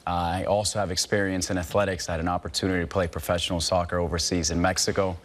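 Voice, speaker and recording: Deep-voiced man from Venezuela. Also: flat delivery, fast talker. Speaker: contestant. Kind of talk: prepared self-introduction.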